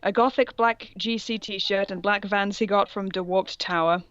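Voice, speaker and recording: A woman's voice: mid-range voice, fairly even intonation, normal speed, fairly bright tone, no room sound, lo-fi sound. A woman reading aloud in a casual comic style, with sardonic, sweary asides and a theatrical touch. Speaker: co-host reading fan fiction aloud.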